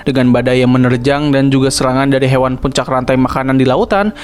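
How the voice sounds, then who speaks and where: A young man hosting a cartoon review channel, telling the plot in a casual, slangy scripted narration with English fillers. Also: fairly high pitch.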